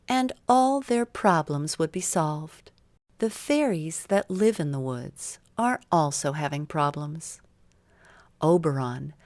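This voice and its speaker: A woman narrating a scripted bedtime story for children in a measured, simple storytelling way. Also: low voice, a little mellow, dry recording.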